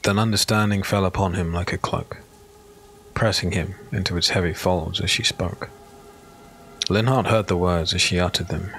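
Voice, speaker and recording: A man giving solemn, measured, dramatic narration of a dark fantasy audiobook. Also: low-pitched, steady tone, slight background noise, rough recording. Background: music.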